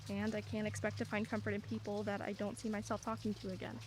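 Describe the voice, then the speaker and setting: A woman's voice: medium pitch, completely flat delivery, fast talker, a little hiss or hum, natural voice, rough recording. A woman playing a dry-humored, guarded single mother of a teenage daughter in a radio play. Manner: dry, wry, quietly guarded.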